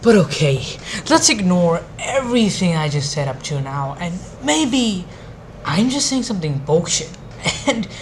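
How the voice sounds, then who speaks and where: Female video commentator, exasperated and sweary, emphatic delivery with animated intonation, noisy recording. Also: mid-range voice. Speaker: anime review video creator. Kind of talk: opinionated ranting commentary.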